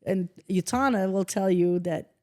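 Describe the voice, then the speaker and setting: A middle-aged woman from the Philippines chatting on a podcast. Her voice is mellow and warm at a middling pitch. She tells stories in an animated way, acts out what people said and swears casually. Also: unhurried. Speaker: guest, host's mother.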